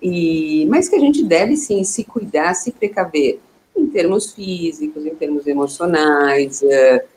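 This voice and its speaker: A woman, hosting a live online interview, chatting warmly and asking questions, often saying "né". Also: lowish voice, a little hiss or hum, rough recording. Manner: warm, conversational, with frequent "né". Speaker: host, portal director.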